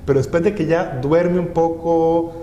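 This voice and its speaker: A man's voice: steady tone, measured pace, dark tone, lo-fi sound. A man, interviewing someone in a conversational style. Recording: echoey room with rumbling background noise.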